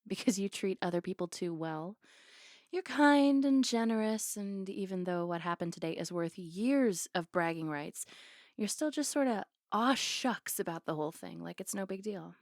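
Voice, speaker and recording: A woman's voice: medium pitch, animated, normal speed, no echo, clean studio sound, natural voice. A woman playing a flirty, playful, affectionate barista on a date in an intimate, teasing ASMR roleplay. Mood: playful, admiring.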